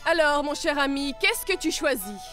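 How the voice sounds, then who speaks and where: A woman's voice: high voice, lively intonation, moderate pace, a bit bright, faint music behind. A woman voicing a scheming, smug villainess with a haughty, mocking delivery in a French children's cartoon.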